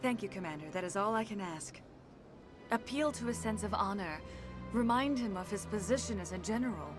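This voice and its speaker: A composed, courtly consort played by a woman with a fairly low voice. She speaks formally and deliberately, discreet and self-possessed, in a video game with clear music behind her. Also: lively intonation, natural voice. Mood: earnest, discreet. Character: poised, influential alien consort, courteous and discreet.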